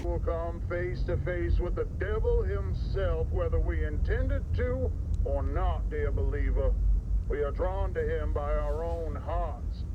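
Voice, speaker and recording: Tinny radio preacher, high-pitched man acting. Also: unhurried. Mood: grave, ominous. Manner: solemn, oratorical, foreboding. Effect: radio broadcast filter. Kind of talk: radio sermon with quoted scripture. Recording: phone-like band, clear noise, tinny radio.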